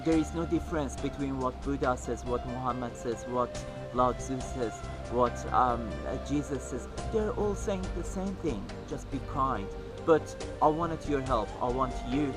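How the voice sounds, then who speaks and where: A man with a Persian accent speaks earnestly and warmly, pausing often and reaching for words. His voice sits at a middle pitch. Music plays clearly behind him. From Iran. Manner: earnest, heartfelt, with frequent pauses and fillers. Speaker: video maker. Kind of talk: personal video message.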